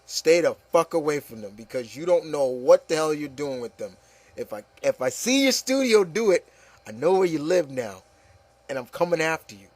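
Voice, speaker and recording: A man's voice: on the high side, very animated, moderate pace, a little mellow, dry recording. Man in an emphatic opinion rant, close dry sound. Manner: emphatic, repetitive, peppered with okay and you know. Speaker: host. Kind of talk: casual opinion rant with co-hosts.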